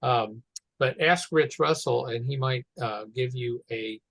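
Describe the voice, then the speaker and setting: A man commenting casually on a teleconference, talking in a halting, rambling way. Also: mid-range voice, creaky, a bit bright, dry recording. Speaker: meeting participant.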